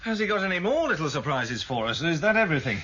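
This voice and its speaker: An actor playing a sceptical, world-weary senior police detective in a British radio drama, musing with wry authority. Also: male voice, fairly high pitch, sing-song, fast talker, pushed and bright, husky, exaggerated voice. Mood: probing. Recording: dull, lo-fi 1978 radio broadcast.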